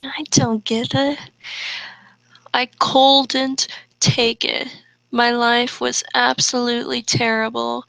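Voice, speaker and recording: Female co-host, slow delivery, close dry sound, casual reading and commentary. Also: fairly high pitch, creaky, bright, forceful tone, clear, resonant tone, no room sound, rough recording. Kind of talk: casual commentary while reading fanfiction aloud.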